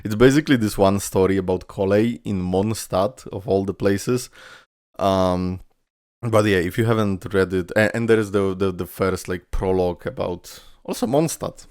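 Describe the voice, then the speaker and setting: Male reaction host, native Polish speaker; deep and creaky, loose, halting delivery, music behind. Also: a little mellow, no room sound, clean studio sound. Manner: rambling, trailing off, repeating words. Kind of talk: casual reaction commentary. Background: game video music.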